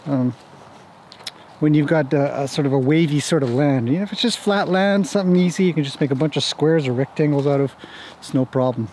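A man's voice: fairly high pitch, brisk, a little mellow. A man in his forties talking casually and ramblingly to a camera as he walks outdoors, explaining things in a laid-back, self-deprecating way. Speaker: vlog host and horse paddock owner. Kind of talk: casual walk-and-talk vlog explanation. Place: outdoors on a wooded horse property. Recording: clear outdoor ambient noise.

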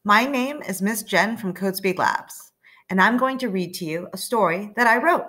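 Animated woman reading to children. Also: medium pitch, moderate pace. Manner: animated, coaxing listeners to join in. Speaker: children's storyteller and teacher. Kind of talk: children's read-aloud. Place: small room indoors.

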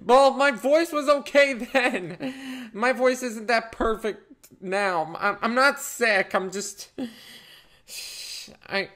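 A hoarse man chatting casually on a voice call, giggly and self-mocking. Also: extremely high pitch, slow pace, sharp tone. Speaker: host. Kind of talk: casual voice-chat comedy.

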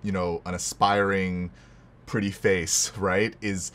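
Guy with a deep voice interviewing someone, chatty, keeps checking in like right, you know. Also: little pitch movement, slow, a bit bright. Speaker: interview host. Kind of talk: casual conversational interview.

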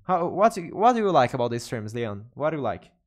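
A man's voice: medium pitch, big pitch swings, fairly quick. A young man from Brazil with a Brazilian Portuguese accent, a native Portuguese speaker, chatting casually with viewers on a live stream from his room, speaking English hesitantly and often correcting himself. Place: bedroom streaming setup indoors. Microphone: studio mic on desk with headphones.

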